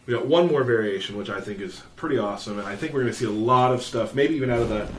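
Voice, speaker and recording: A man's voice: mid-range voice, very fast. Casual man hosting a noisy game stream. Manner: informal, conversational. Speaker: stream host. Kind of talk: casual chat and game commentary. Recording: distant mic, clearly noisy background.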